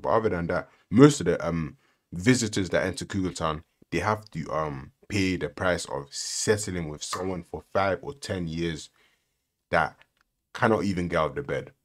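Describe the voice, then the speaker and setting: Deep-voiced man with swooping, sarcastic delivery. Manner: sarcastic, rhetorical, lecturing. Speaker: reaction video host. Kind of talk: mocking reaction commentary.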